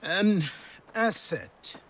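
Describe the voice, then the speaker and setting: An actor playing a stern, weary old mentor in a video game, speaking in a measured, reserved, instructing manner. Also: male voice, high-pitched, a bit bright, full character voice. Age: older. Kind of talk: video game character acting.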